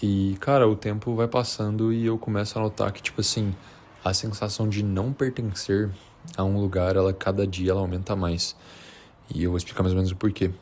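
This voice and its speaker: A Brazilian man with a fairly low voice talks casually, as a vlogger would. He speaks informally and off the cuff, with slang and a hesitant, rambling flow. Some background noise and ambience sit behind him. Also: flat delivery, fairly quick, a little mellow. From Minas Gerais, Brazil. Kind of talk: unscripted casual chat to camera.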